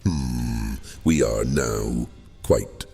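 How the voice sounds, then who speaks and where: A man playing an old, fading captive djinn in a fantasy audio drama, courteous and wheedling, with silky theatrical delivery and hesitant pauses. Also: rumbling low, vocal fry, warm tone, husky, exaggerated voice. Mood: sly, courteous. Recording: long cavernous reverb, music underneath. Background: music.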